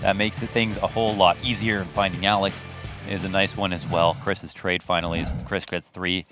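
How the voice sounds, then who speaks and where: Lowish voiced guy doing analyst chat on an esports cast, faint music under him. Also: level tone, brisk. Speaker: esports colour commentator. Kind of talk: conversational analysis commentary.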